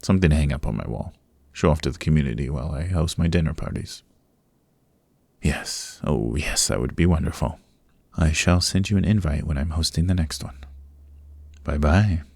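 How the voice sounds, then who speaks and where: Man voicing a very deep, gravelly gentleman vampire. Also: moderate pace, long pauses, no room sound, full character voice. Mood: warm, gracious. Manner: genteel, polite, old-fashioned phrasing. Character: courteous, chatty old male vampire, proud and sociable. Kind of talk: ASMR roleplay, interview monologue. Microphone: close microphone, dry.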